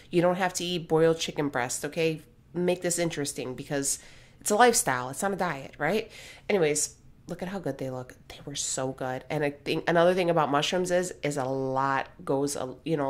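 A woman in her thirties narrating her home cooking in a casual, blunt, joking way, often checking in with "okay?". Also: sits low. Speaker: vlogger.

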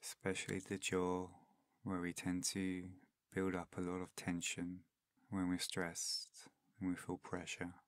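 Deep, slow male meditation guide. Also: monotone, dry recording, clean studio sound. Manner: soft-spoken, soothing, unhurried with long pauses.

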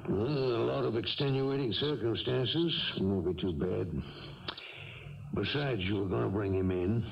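Gravelly, wry police lieutenant. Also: male voice, on the low side, measured pace. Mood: wry, lenient. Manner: casual, slangy authority. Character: wry, self-assured male police lieutenant. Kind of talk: 1970s radio drama acting. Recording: dull, distant 1970s radio recording.

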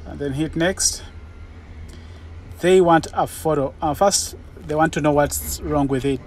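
A man vlogging as a truck driver, casually talking through each step and thinking aloud in a halting way. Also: fairly high pitch, darkish tone. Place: truck cab and outdoor rail yard. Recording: background vehicle and ambient noise.

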